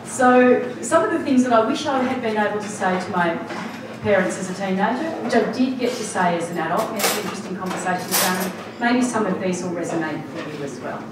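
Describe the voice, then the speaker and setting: A woman's voice: mid-range voice, a little fast, heavy background noise. A woman giving a reflective personal talk at a public forum, with frequent ums and restarts. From Australia. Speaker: forum speaker. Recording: echoey hall recorded from a distance.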